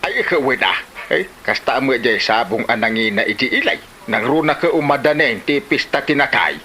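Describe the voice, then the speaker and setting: A man's voice: medium pitch, animated, brisk, pushed and bright, slight background noise. A man with an Ilocano Filipino accent acting a nosy, teasing village husband in a comedy drama.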